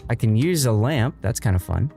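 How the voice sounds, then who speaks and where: A man's voice: mid-range voice, animated, moderate pace, creaky, warm tone, soft background music. A man streaming a video game with casual, easygoing live commentary, thinking aloud as he goes.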